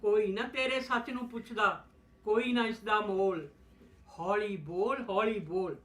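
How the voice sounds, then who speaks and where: A performer playing a fearful, cautioning chanter in a rhymed stage play, with slow, incantatory, repetitive delivery. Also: mid-range voice, a bit bright, natural voice. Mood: grim caution. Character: fearful cautioning chanter warning a truth-teller to hush. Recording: echoey room.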